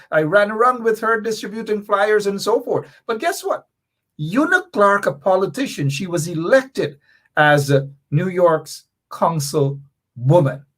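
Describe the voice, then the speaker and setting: A man's voice: sits high, fairly bright tone. A middle-aged man from Guyana with a Guyanese accent, hosting a political talk show and delivering emphatic commentary that often repeats key points.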